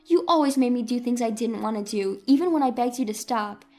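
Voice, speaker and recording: A woman's voice: on the high side, fairly quick, dark tone, natural voice. A woman voicing a hurt, defiant young woman who speaks to her parents with emphatic reproach. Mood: angry, reproachful.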